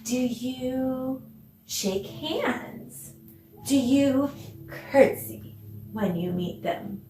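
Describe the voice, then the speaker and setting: A woman teaching a children's online dance lesson, speaking in an encouraging, animated way with lots of questions and deliberate pauses. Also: high voice, faint music behind. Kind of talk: children's online arts lesson, addressing viewers. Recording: echoey room, distant mic, faint noise.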